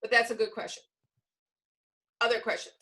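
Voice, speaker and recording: A woman's voice: on the high side, steady tone, normal speed, sharp tone. A woman presenting a teacher-training session, explaining informally with examples as she answers questions. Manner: explanatory, illustrates points with examples.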